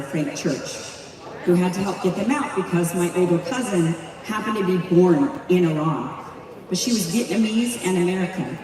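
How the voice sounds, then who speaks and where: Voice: mid-range voice, darkish tone. A speaker giving a rousing public speech at a community event, asking many rhetorical questions. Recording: echoey hall, voice from far off.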